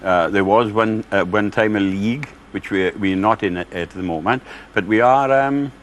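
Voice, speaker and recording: A Welsh man in his sixties, a quiz contestant in a TV studio, halting and full of fillers. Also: lowish voice, fairly bright tone. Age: 60s.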